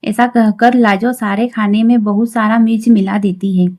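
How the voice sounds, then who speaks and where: A woman's voice: medium pitch, steady tone, moderate pace, darkish tone. A woman narrating a scripted cartoon story as a storyteller.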